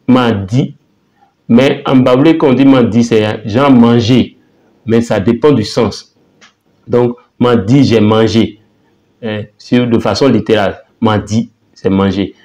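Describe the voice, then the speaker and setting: A man teaching a language lesson in a casual, halting way, often repeating words and saying phrases slowly. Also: medium pitch, dark tone. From Côte d'Ivoire.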